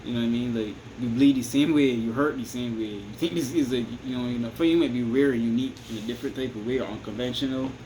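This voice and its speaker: A man's voice: medium pitch, fast talker, few pauses, dark tone, low-quality recording. Rambling Barbadian man with hissy background. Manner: rambling, repeats "you understand me?", restarts often. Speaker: vlogger giving advice. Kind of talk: casual advice monologue to camera.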